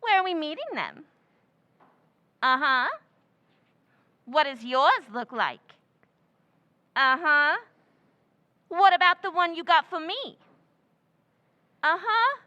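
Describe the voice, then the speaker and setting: A woman playing a giddy, shrill husband hunter. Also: lively intonation, slow pace, long pauses, pushed and bright, lo-fi sound, heavily acted. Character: man-hungry, scatterbrained young woman Miss Duffy. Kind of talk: old-time radio comedy recreation.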